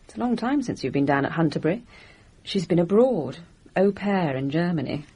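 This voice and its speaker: A composed, well-bred young Englishwoman in a radio play. Her RP voice is lowish and breathy, with lively intonation and a cool, wry edge. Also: brisk. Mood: composed, dry. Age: young adult. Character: composed, dry young upper-class Englishwoman. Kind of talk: radio drama acting.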